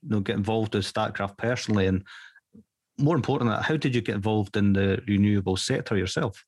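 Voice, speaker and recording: A man's voice: lowish voice, fairly quick, no room sound. A male interviewer asking questions in a chatty, joking way. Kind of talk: conversational interview.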